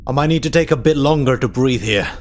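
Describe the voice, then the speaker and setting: A man's voice: mid-range voice, quick pace, pitched above the performer's own voice, lightly acted. A man playing a groggy, wounded starship medical officer, wearily groaning his way through a tabletop game. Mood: groggy, pained. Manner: weary, groaning.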